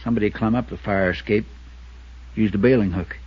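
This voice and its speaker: An American actor playing an uneasy, questioning associate of a detective in a 1950s radio drama, with a husky voice. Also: male voice, lowish voice, steady tone, fairly quick. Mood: subdued, shaken. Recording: muffled 1950s radio recording.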